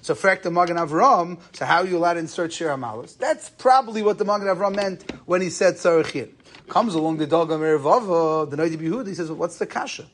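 A man's voice: fairly high pitch, fast. A man gives an informal lecture on religious texts. He reasons aloud in questions and answers, dropping Hebrew terms into his sentences as he goes. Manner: question-and-answer explaining, Yeshivish phrasing with Hebrew terms mixed in. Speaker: rabbi giving a lecture.

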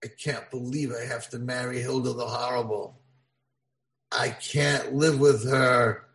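A man voicing a young male prince forced into kitchen slavery, pleading and shouting in a strained high voice. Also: little pitch movement, measured pace. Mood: defiant. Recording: echoey room.

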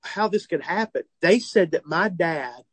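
Southern comedian in his forties, lightly high voice, dry close sound, animated and earnest storytelling. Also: measured pace, slightly rough, dry recording. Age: 40s. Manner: animated, earnest, self-deprecating humor. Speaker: comedian and author, guest. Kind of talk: phone or remote interview, candid storytelling. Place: tour bus.

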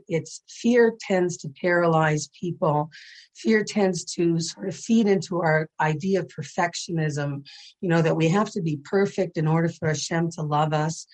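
Raspy low-voiced woman teaching. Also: low-quality recording. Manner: earnest and gentle, with frequent ums. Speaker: Torah educator and speaker. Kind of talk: spiritual class over video call. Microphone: video call.

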